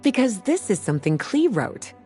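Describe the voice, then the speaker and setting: A woman acts a young magic librarian from a video game, in a mellow, dark-toned voice with plenty of melodic movement. She is composed and knowing, with a gentle teasing streak, and music plays behind her. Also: mid-range voice, sing-song, normal speed, natural voice. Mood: amused. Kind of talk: video game character acting.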